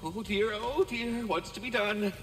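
An actor voicing a jittery, fretful little squirrel spirit in a video game. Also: male voice, high voice, a little slow, big-room sound, slight background noise, low-quality recording. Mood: panicky worry. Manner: jittery, worried, fretting.

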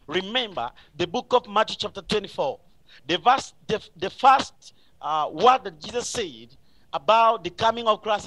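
High-pitched, bright-voiced man preaching. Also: no room sound, poor audio. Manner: emphatic, repetitive exhortation. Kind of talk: live radio sermon.

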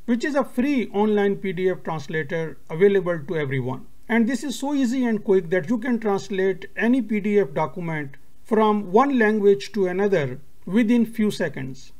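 A man whose first language is Urdu, hosting a screen-recorded tutorial and explaining each step in a steady, methodical way. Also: high voice, normal speed, hardly any pauses. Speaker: tutorial host.

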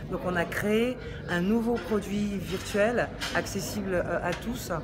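A woman's voice: medium pitch, unhurried, noisy background. A middle-aged woman, an exhibition organizer, talking animatedly with many hesitations into a phone camera held close in a gallery. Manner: animated, enthusiastic, hesitant fillers. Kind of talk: casual interview. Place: gallery room indoors, crowd around. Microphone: phone camera close to face.

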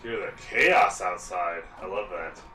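Guy in his 30s yapping through a zombie game on a headset, bright punchy voice, roomy sound, kinda off mic. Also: low voice, measured pace, distant mic, faint music behind. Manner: excited, chatty, exclaims often. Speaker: gaming video host. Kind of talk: let's play commentary.

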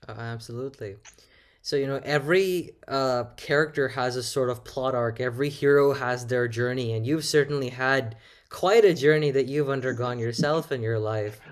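A man's voice: medium pitch, moderate pace. A young man hosting an interview in a polite, earnest, courteous way. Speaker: interviewer, host. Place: indoors on a video call.

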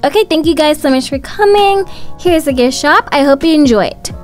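A woman's voice: high voice, expressive, fairly quick. A woman voicing a polite, businesslike stadium ticket attendant in a cartoon story. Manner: polite, procedural. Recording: music playing underneath.

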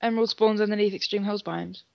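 Young female gaming co-host, casual chat, average pitch, muffled lo-fi recording. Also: steady tone, quick pace, vocal fry, dry recording. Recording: dull lo-fi voice-chat sound.

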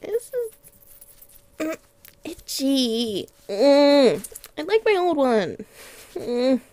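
Woman playing young catgirl girlfriend, ASMR roleplay, highish mellow voice, affectionate, playful, clingy, cooing. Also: very animated, no echo, heavily acted. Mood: puzzled, reluctant. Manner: soft, affectionate, slow with long pauses, cooing.

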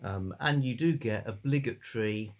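An older man hosting a relaxed, rambling chat about old books from his home study, with a calm delivery and many fillers. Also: medium pitch, moderate pace. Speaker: book channel host.

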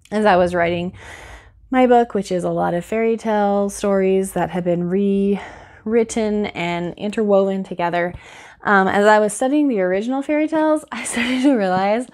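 A woman in her thirties chatting casually to camera as a host, telling a story with wry, sarcastic, giggly asides. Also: mid-range voice. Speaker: host of a book channel. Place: small indoor room at home, by a bookshelf. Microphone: lapel mic.

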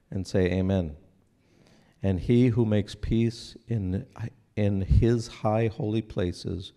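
Man in his 40s on a church stage at a stand mic, dark warm voice, earnest and unhurried, keeps restarting phrases. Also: on the low side. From Israel. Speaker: speaker at a church breakfast. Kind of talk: sermon-like talk to an audience. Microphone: stand microphone.

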